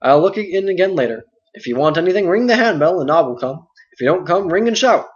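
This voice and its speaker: A man voicing a fat, bustling, talkative innkeeper who speaks loudly and in a hurry, on a dull lo-fi recording. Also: high voice, animated, pitched above the performer's own voice. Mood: bustling chatty. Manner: loud, hurried, endless stream of talk. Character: fat bustling middle-aged male innkeeper, chatty.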